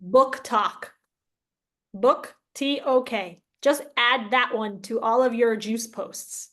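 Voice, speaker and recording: A woman hosting an informal interview, chatting in an animated, giggly way. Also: on the high side, slow pace. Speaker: interview host. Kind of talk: casual chat.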